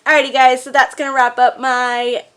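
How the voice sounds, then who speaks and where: A woman's voice: sits high, little pitch movement, moderate pace, clear, resonant tone. A woman vlogger chatting casually to her viewers in a gushing, emphatic way. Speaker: book vlogger.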